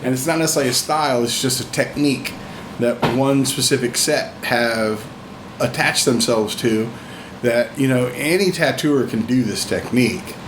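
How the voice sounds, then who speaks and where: A man's voice: mid-range voice, moderate pace. A man in his fifties chatting casually and explaining things informally, like a veteran tattooer talking shop. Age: 50s. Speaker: veteran tattoo artist, podcast guest. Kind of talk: casual shop-talk conversation. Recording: roomy, noisy room with hum.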